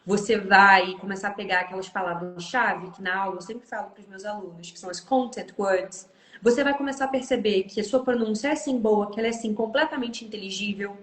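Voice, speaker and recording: A woman's voice: medium pitch, brisk, a bit bright, roomy sound. A woman with a Brazilian Portuguese accent, an English teacher explaining her views in an encouraging way as a guest on a live stream. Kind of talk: casual live-stream conversation.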